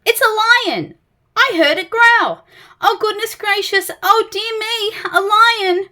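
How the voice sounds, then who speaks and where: A woman voicing a tiny, jittery man who panics at everything, in shrill, squealing bursts. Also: slow pace, pushed and bright, heavily acted. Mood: panicky.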